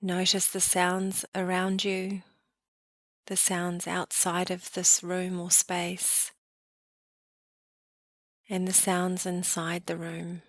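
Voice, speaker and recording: Slow, hushed woman guiding meditation. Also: on the low side, no room sound. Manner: calm, gentle, unhurried phrasing with soft trailing endings. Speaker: meditation guide. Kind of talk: guided meditation, slow soothing narration.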